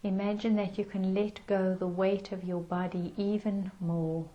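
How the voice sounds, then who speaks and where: A woman leading a guided meditation in a gentle, soothing manner with unhurried pauses. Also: mid-range voice, flat delivery, lo-fi sound.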